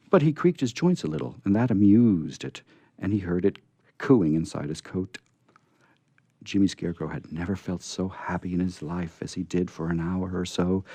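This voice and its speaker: A man reading a storybook aloud as narrator in a dramatic, expressive way. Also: sits low, gravelly, no room sound.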